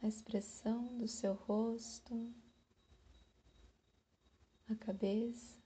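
Very slow Brazilian woman guiding meditation. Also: a little high, level tone, dark tone, husky. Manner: soothing, unhurried, with long pauses between short phrases. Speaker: meditation guide.

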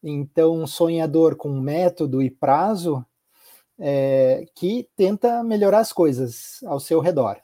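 A man's voice: on the high side, slow, warm tone. A man with a southern Brazilian accent from Curitiba, speaking reflectively as an interview guest and repeating words for emphasis. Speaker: podcast guest, healthcare executive.

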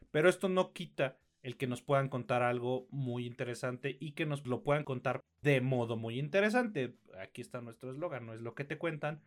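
A man with a Mexican accent reviewing films as a podcast co-host, informal and slangy, thinking aloud as he goes. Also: medium pitch. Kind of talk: conversational film review.

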